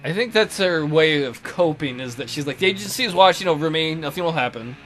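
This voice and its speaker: A man's voice: a little high, animated, fairly quick, a bit bright. A man co-hosting a let's play with casual, teasing commentary and jokey interjections. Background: acoustic guitar game music.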